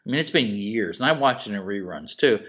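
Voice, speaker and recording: A man co-hosting a podcast in casual chat, excitable and rambling, often restarting his sentences. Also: on the low side, a little fast. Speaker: podcast co-host. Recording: dull lo-fi podcast audio.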